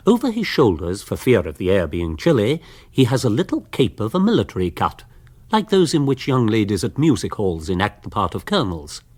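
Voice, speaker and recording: Genteel storyteller, English, wry scripted narration, slightly low, husky, hugely varied pitch. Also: male voice, heavily acted.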